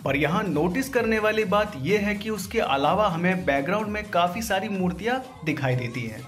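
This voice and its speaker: Man, high voice, informal slangy commentary, video host, music behind. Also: animated, normal speed, fairly bright tone. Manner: informal, crude slangy joking. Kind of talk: trailer breakdown voice-over commentary.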